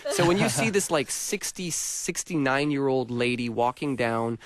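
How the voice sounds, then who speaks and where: A man's voice: medium pitch, moderate pace, a crowd in the background. A man hosting a radio show, interviewing students casually with jokey, slangy banter.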